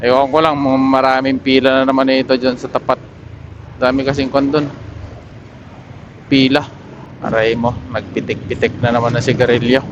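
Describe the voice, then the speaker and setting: A man's voice: medium pitch, level tone, moderate pace, long pauses, muffled recording. A man chatting casually as a motorcycle vlogger, giving unscripted running commentary into a helmet intercom mic. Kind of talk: casual vlog chat while riding. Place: outdoors on a motorcycle in city streets. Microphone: helmet intercom microphone. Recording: helmet mic with clear traffic noise. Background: vehicles and outdoor street noise.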